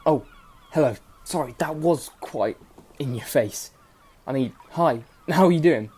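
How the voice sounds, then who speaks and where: An actor playing an irreverent, self-aware narrator in a radio play, chatting informally and straight to the listeners. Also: male voice, fairly high pitch, animated, measured pace, very noisy. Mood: chatty, flippant. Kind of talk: chatty direct address to listeners.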